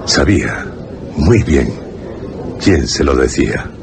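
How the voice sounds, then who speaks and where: Extremely deep Castilian male narrator. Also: expressive, creaky, noisy background. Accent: Castilian Spanish. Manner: grave, deliberate, dramatic pauses. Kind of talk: scripted radio horror narration.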